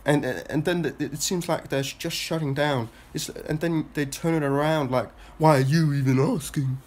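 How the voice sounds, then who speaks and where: A man in his twenties with a Danish accent and a stuffy nose from a cold, musing in a halting, rambling monologue full of restarts, recorded on a phone held at arm's length in a small room. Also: fairly high pitch, fairly even intonation, darkish tone. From Denmark. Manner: halting, many restarts and filler sounds. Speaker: vlogger. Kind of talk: rambling personal monologue to camera.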